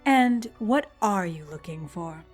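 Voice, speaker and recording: A woman's voice: medium pitch, animated, takes it slowly, breathy edge, faint music behind. A woman playing a manipulative, seductive and coolly calculating schemer in an audio drama. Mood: probing.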